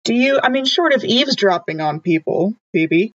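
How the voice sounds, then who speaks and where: A woman playing a tabletop game, chatting casually and thinking aloud in halting questions. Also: mid-range voice, expressive, dry recording, muffled recording. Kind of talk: casual improvised game chat.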